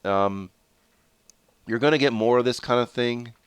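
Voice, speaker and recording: A man's voice: mid-range voice, unhurried, clear, resonant tone, no echo. A man with a stuffed-up head cold and a nasal voice, giving rambling, casual let's-play commentary full of fillers and self-corrections. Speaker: gameplay commentator.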